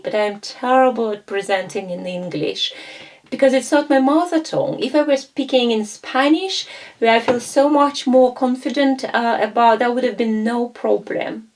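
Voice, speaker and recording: A woman's voice: on the high side, moderate pace. A woman giving a coaching lesson, explaining concepts and acting out short coach and client exchanges, with fillers and self-corrections. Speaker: coaching instructor. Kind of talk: lecture with role-play examples.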